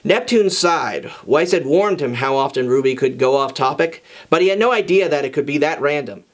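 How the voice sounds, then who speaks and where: Man with a slightly high voice reading aloud. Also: animated, normal speed. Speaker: fan fiction reader and channel host. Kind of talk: informal scripted story narration.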